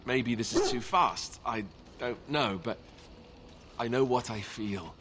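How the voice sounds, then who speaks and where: An actor playing an awkward, lovestruck young warrior in a fantasy video game, speaking with hesitant, earnest stumbles over faint background ambience. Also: male voice, mid-range voice, slow pace, fairly bright tone, a little hiss or hum. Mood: earnest, tender.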